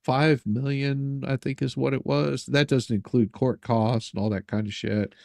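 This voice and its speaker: Halting man in casual sarcastic banter, dry sound. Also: medium pitch, fairly even intonation, creaky, a little mellow, no echo. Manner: halting, repeats words, sarcastic. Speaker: livestream host.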